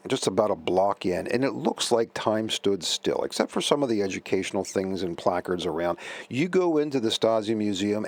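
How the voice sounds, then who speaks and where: A middle-aged man hosting a vintage computer talk, chatting casually and rambling with fillers and self-corrections. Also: lowish voice. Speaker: vintage computer channel host. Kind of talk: casual commentary.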